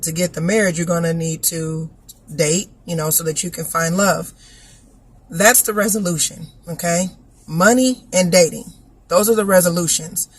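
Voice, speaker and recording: Woman, hoarse, scratchy voice, casual motivational talk, explains step by step, keeps asking "okay?". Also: fairly low pitch. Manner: teaching, repeats points and checks in with the listener. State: hoarse, recovering from illness. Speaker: vlogger giving inspirational talks.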